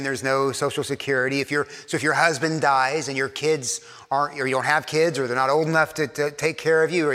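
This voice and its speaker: Fast-talking pastor from Texas in a hall. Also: male voice, fairly high pitch, bright, forceful tone. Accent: faint Texan, worn by years in New York. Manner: fast-talking, repeats words as he restarts. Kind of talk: sermon.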